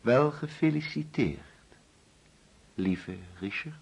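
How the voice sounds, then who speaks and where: A husky, menacing, controlling father. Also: mid-range voice, lively intonation, heavily acted. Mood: chillingly tender. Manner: deliberate, coaxing, commanding. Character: grieving, menacing, controlling father with eerie calm. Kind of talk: radio drama acting.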